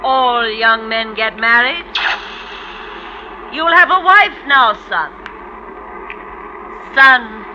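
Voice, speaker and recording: An actress playing a brisk, tight-fisted middle-aged French widow and mother in an old radio drama. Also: fairly high pitch. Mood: bossy. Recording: dull vintage radio recording with background noise.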